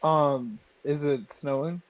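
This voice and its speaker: A man's voice: a little high, slow pace, dry recording, low-quality recording. A man chatting casually as a player in a tabletop roleplaying game.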